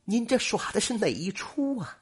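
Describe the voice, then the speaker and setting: A man doing a shrill young village girl. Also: sing-song, moderate pace, slightly rough, exaggerated voice. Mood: teasing. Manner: high, pleading falsetto. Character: frightened young village daughter.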